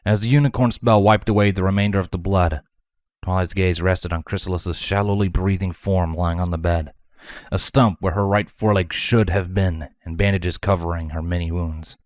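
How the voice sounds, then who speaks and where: A man's voice: deep voice, steady tone, normal speed, no room sound, clean studio sound. A man reading scripted fantasy fiction as a narrator.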